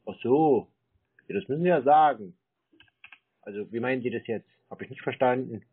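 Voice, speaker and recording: A man on a casual prank call, teasing and playing slow on the uptake. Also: mid-range voice, husky. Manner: teasing, feigned slow-wittedness. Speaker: scam-baiting call recipient. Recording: muffled narrow phone-like sound.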